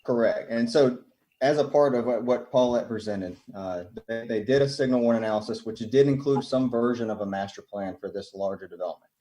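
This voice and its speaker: A man giving an off-the-cuff technical explanation as a government official, measured and bureaucratic. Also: medium pitch, mellow. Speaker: transportation department official.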